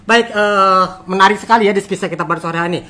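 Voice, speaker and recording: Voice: medium pitch, fast talker, pushed and bright. An interviewer hosting a conversational chat, often repeating and affirming what guests say. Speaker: interviewer, host.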